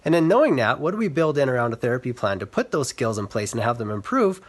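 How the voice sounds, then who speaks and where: A man speaking as an educator, giving an earnest, measured explanatory talk for social media. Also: medium pitch, sing-song. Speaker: reading specialist and educator.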